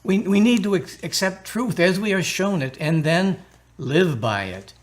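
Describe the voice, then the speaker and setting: Man playing an earnest, kindly priest, higher-pitched voice. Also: animated, unhurried. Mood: earnest preaching. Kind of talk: radio drama acting.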